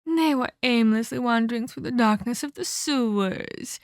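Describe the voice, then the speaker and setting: A woman's voice: on the high side, no echo, studio quality, close to an everyday voice. A woman voicing a menacing female vampire captor in a scripted ASMR roleplay, theatrical and mockingly sweet as she scolds and condescends. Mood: cold, taunting. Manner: theatrical, mock-polite, slow deliberate scolding.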